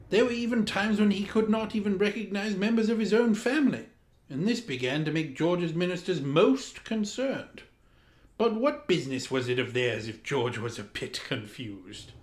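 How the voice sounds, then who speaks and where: A man playing a pompous Georgian lord, reading a children's storybook with dramatic, deliberate diction. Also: on the high side. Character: pompous Georgian English lord reading a mad royal tale. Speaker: storyteller, host in the guise of a lord. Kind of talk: theatrical children's storybook narration. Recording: roomy echo, music underneath.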